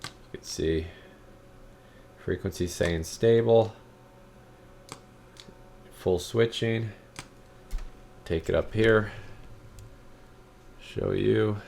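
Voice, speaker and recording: A low-pitched man talks through repair work in a loose, folksy way. He thinks aloud and rambles informally, like a technician presenting his own video. A steady hum sits faintly behind his voice. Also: very slow, vocal fry, pauses often. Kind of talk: casual rambling repair walkthrough. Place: radio repair workshop.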